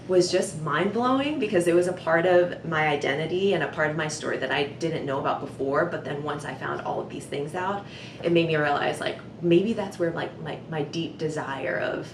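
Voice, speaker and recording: A young woman telling personal stories in an earnest, reflective way, often pausing with "like" and "um.". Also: vocal fry. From United States. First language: Vietnamese. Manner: earnest and reflective, many "like" and "um" fillers. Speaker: communications director. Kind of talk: personal storytelling to camera. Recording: roomy echo with low rumble.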